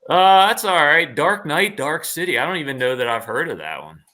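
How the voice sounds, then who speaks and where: Bright-voiced man chatting casually. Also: fairly high pitch, expressive, brisk. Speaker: guest, voice actor. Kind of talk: casual livestream chat.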